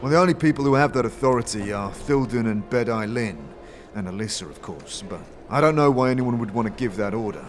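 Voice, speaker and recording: A man's voice: medium pitch, lively intonation, brisk, slight background noise, close to an everyday voice. A man voicing a deferential, plain-spoken armored guard in a fantasy audio drama.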